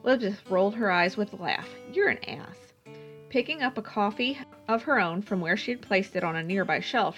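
A woman's voice: fairly low pitch, a bit bright. A woman reading a story aloud as a scripted audiobook-style narration. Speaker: guest story reader.

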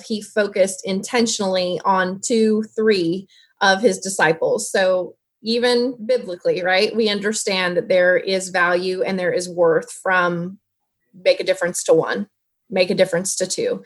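Female guest, foster parent, earnest and encouraging, mid-pitched voice. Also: steady tone, measured pace. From moved around as a military child. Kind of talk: encouraging advice.

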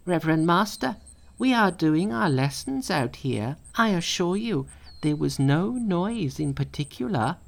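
A man voicing an eager, clever male monkey disciple in a storybook reading, earnest and excitable. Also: unhurried, creaky, exaggerated voice. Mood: innocent. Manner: earnest, excitable, high and bright.

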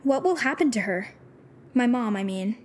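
An actress playing a troubled, disillusioned teenage runaway with superpowers in an audio drama, talking in halting, informal, youthful phrases. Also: female voice, fairly high pitch, animated, a little hiss or hum, close to an everyday voice. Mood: scared, unsure.

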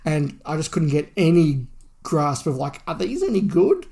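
Podcast co-host, male, noticeable vocal fry, measured and conversational, informal fan talk. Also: a little high, very animated, warm tone. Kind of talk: casual fan podcast chat, reading listener email.

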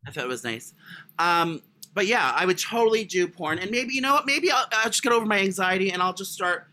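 A man with a high voice co-hosting a casual comedy podcast, crude and self-deprecating in his humour. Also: lively intonation, fairly quick, pushed and bright. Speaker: podcast co-host. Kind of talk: casual comic chat.

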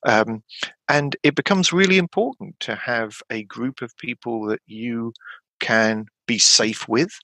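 A man chatting enthusiastically on a podcast about a tabletop game, rambling with many restarts and sudden leaps of pitch. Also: a little slow, bright, forceful tone, dry recording. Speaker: podcast guest.

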